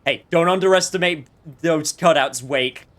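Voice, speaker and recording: A man's voice: a little high, normal speed, fairly bright tone. A man giving casual gameplay commentary as a streamer, with a joking, sarcastic manner. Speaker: game streamer.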